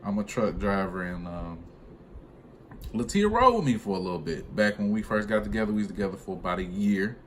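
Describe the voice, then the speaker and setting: A man's voice: on the low side, fairly quick. A young man who drives trucks, telling a personal story in a casual, slangy, conversational way for a vlog. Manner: slangy, conversational, animated retelling. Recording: clearly noisy room ambience.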